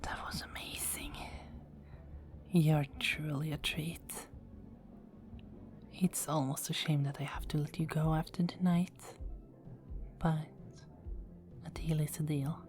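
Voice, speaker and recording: A woman playing a sultry, deep-voiced vampire. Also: level tone, slow pace, long pauses, a little mellow, soft background music. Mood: satisfied. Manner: teasing, close whispers. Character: seductive, dominant female vampire. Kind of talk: ASMR roleplay.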